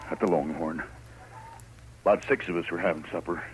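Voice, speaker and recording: Troubled low-voiced rancher played by a man. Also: lightly acted. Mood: resolved, remorseful. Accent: American. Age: middle-aged. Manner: troubled, halting, defensive. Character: guilt-ridden middle-aged male rancher, ashamed, stubborn. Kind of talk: 1950s radio drama acting. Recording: noisy old radio recording.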